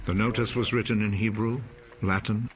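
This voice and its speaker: A man narrating scripture for a film in a measured, solemn manner. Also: on the low side, steady tone.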